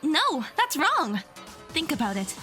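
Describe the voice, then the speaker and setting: A woman's voice: high-pitched, huge pitch swings, a little slow, bright, forceful tone, soft background music, heavily acted. A woman playing an earnest young woman in an audio drama, arguing insistently and reasoning step by step. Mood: confident rebuttal.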